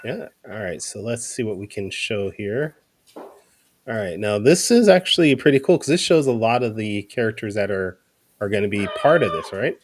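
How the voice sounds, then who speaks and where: A man's voice: fairly low pitch, expressive, normal speed, a little hiss or hum. A man hosting a podcast and talking in a casual interview style. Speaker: podcast host.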